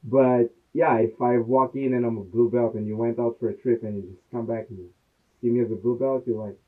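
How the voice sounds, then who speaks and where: A man's voice: mid-range voice, steady tone, fairly quick. A man co-hosting a podcast in casual chat, agreeing often and explaining with everyday examples. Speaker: podcast co-host. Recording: tinny phone-like sound.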